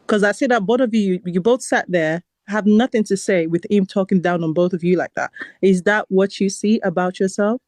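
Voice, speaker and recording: A woman's voice: medium pitch, a little mellow, dry recording. A woman from Nigeria, combative on a live stream call, cutting in and repeating herself. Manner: combative, interrupts, repeats "hold on". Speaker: guest caller on a live stream. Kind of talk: heated confrontation.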